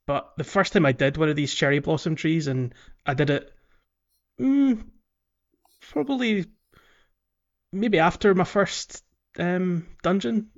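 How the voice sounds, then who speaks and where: A man chatting casually as a podcast co-host, thinking aloud in a halting way. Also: high-pitched, highs cut off. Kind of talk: casual chat.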